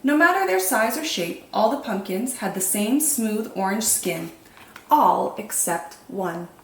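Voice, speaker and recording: A woman with a medium-pitched voice narrates a picture book for small children. Her reading is expressive and carefully phrased. The room is noticeably echoey, with a little noise behind her. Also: unhurried, creaky. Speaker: children's storytime host and reader.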